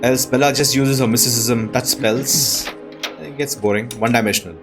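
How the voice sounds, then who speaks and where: Low-key man commentating over game music. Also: normal speed. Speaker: gaming video host. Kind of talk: casual gameplay commentary.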